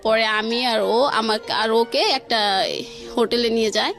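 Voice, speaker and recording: উঁচু স্বরের বাংলাদেশি উচ্চারণের নারী. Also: female voice, on the high side, fairly even intonation, unhurried, fairly bright tone. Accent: Bangladeshi. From Bangladesh. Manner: colloquial, strings events together with quoted dialogue. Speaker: guest telling a personal story. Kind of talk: informal personal storytelling. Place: radio studio. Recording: faint music behind. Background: faint music.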